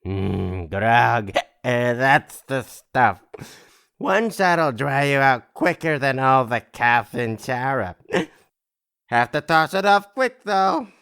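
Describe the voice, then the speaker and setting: A man plays a hard-drinking, self-pitying brother in an audio drama. He drawls flippantly in a clear, smooth voice that swings up and down in lively fashion. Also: medium pitch, slow, heavily acted. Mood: tipsy, flippant.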